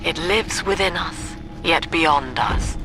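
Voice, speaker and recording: Zealous game preacher, unhurried sermon delivery, musical backing. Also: male voice, on the high side, over music. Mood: solemn, fervent. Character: solemn, zealous male preacher. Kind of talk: sermon-like video game speech.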